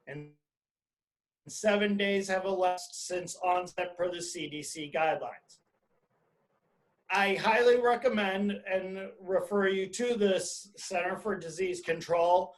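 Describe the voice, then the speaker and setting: A man giving an explanatory webinar presentation as an attorney, speaking deliberately and emphatically in short phrases over a video call. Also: high-pitched, low-quality recording. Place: indoors, on a video conference call.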